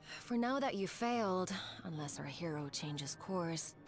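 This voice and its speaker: Game role: an elegant, ominous female traveler between worlds, formal and teasing, taking her time over each phrase, over a clear music bed. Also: fairly low pitch, expressive. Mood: composed, formal. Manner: formal, archaic, measured theatrical phrasing. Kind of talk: video game cutscene acting. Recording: orchestral game music behind voice.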